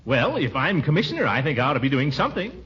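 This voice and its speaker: Pompous blustering radio comedy official. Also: male voice, on the high side, sing-song, fast, a bit bright. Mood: flustered, self-important. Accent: American. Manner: pompous, theatrical. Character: blustering, self-important middle-aged male new water commissioner. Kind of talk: 1940s radio comedy acting. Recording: dull, noisy 1940s radio broadcast.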